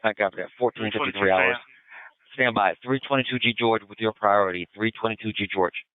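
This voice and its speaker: Male police dispatcher, brisk and procedural, clean-toned voice through a narrow, muffled two-way radio. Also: fairly low pitch, dry recording. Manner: procedural, clipped call-and-response. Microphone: radio transmitter. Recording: muffled, band-limited police radio transmission.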